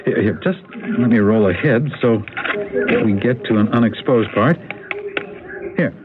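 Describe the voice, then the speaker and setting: An actor playing a playful husband and photography buff in a radio drama, chatty and teasing in an enthusiastic way. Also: male voice, on the low side, measured pace, close to an everyday voice. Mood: eager, cheerful. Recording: tinny, noisy old radio broadcast recording.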